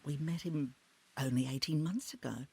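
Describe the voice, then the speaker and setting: A woman's voice: low-pitched, normal speed, warm tone, slightly rough, heavily acted. A woman playing a fiercely loyal, outspoken lady's maid in a game drama, blunt and emphatic in her bitterness. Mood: bitter, indignant. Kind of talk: video game drama acting.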